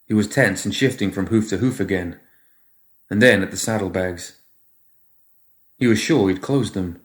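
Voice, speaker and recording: A man's voice: lowish voice, moderate pace, echoey room. A man reading fiction aloud as a scripted narrator. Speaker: story reader.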